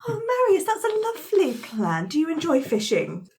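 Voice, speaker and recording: A woman playing an earnest, courteous adventurer in a tabletop game, hesitant and gently coaxing. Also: high voice, wildly swooping pitch, fairly quick, full character voice. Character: polite, earnest, encouraging female adventurer, gently persuasive.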